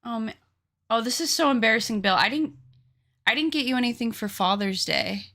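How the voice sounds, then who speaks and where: Woman, late twenties, streamer; bright tone with some fry; chatty, teasing, self-deprecating. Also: a little high, lively intonation, fairly quick. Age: 20s. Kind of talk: casual live-stream chat while crafting.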